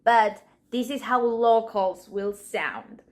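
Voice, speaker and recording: A woman's voice: fairly high pitch, darkish tone. A Colombian woman, a native Spanish speaker, giving a casual Spanish lesson in English and acting out playful example scenes. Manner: slow, playful, acts out little example scenes. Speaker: Spanish teacher. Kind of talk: casual language lesson to camera.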